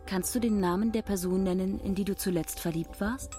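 An actress playing the impersonal, formal questioner of a secretive movement in a radio play, with a detached, scripted manner. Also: female voice, mid-range voice, fairly even intonation, a little fast, over music, natural voice.

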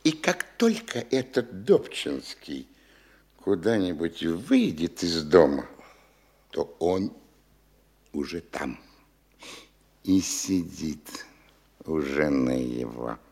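An actor playing a fawning, sly official who gossips and tells tales in an obsequious way in a classic radio play. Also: male voice, extremely low pitch, big pitch swings, takes it slowly, pauses often, a little mellow, full character voice. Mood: tattling. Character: fawning middle-aged male official, sly telltale.

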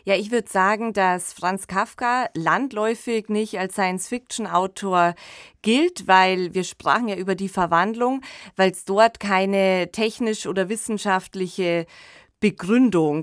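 A woman's voice: mid-range voice, no echo, clean studio sound. A woman, co-hosting a podcast and explaining things in a colloquial, conversational way. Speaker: podcast co-host. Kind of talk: conversational discussion of fiction and film.

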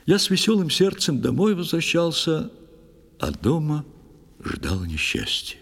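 An actor playing a sorrowful, pious old fisherman who tells his tale in expressive verse in an audio play. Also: on the high side, unhurried, vocal fry, exaggerated voice. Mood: warm recollection, troubled. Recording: long studio reverb over music.

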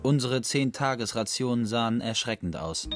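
Radio play: man as sombre, hungry camp prisoner telling his story, medium pitch, faint music below. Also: animated, moderate pace, lightly acted. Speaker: actor narrating in a radio play. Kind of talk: literary radio play narration.

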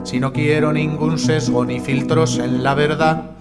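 Man delivering slow rhymes over loud guitar. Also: on the high side, steady tone, big-room sound. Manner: measured, rhythmic delivery. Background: loud acoustic guitar music.

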